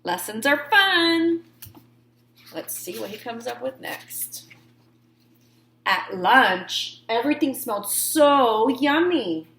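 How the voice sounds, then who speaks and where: A woman reads a children's story in a fairly high, very animated voice. She stresses key words dramatically and slips in informal asides. The room is noticeably echoey. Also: slow, a little hiss or hum. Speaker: read-aloud storyteller. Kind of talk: expressive picture-book reading for children with informal asides.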